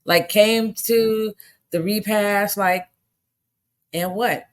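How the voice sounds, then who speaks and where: A hoarse woman hosting her own podcast, talking in an animated, indignant and emphatic way. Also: mid-range voice, drawn-out words. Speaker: podcast host. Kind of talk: casual monologue podcast.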